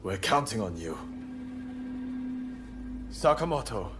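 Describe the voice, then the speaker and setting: An actor playing a stern, ruthless revolutionary plotter with a commanding, disdainful manner in a video game. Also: male voice, on the low side, sing-song, takes it slowly, music in the background. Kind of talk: video game cutscene acting.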